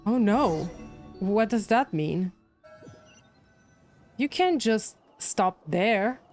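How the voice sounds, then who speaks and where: Chatty woman streamer, mid-pitched. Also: animated, very slow, darkish tone. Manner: thinking aloud, chatty. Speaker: game livestreamer. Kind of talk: casual live game commentary. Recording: game music behind.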